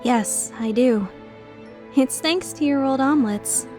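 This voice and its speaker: A woman playing an earnest, timid, tender-hearted young woman in a dubbed visual novel, speaking in a measured way. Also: high-pitched, darkish tone, soft background music. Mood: sincere. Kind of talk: dubbed visual novel acting with inner narration.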